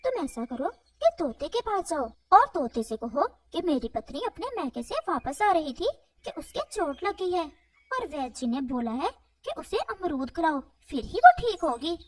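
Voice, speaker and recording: A woman's voice: high-pitched, sing-song, normal speed. A woman voicing a crafty, bossy female crow wife in a children's cartoon, with a smug, instructing manner. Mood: scheming. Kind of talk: animated children's moral story dialogue.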